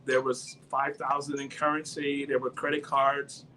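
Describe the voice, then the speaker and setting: A man's voice: medium pitch, level tone, moderate pace. A man speaking as a consultant guest, telling anecdotes casually in short, plain phrases. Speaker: guest, international business consultant. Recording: faint background ambient noise.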